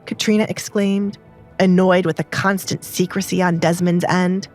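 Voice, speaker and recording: A woman's voice: fairly low pitch, level tone, moderate pace, a little mellow, soft background music. A woman narrator reading a scripted dramatized story.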